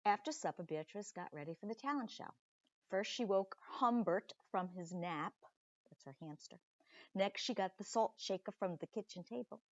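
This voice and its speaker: A woman reading a children's story aloud expressively, with deliberate dramatic pauses and chatty asides to young listeners, like a teacher. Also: mid-range voice, animated, no echo, dull audio.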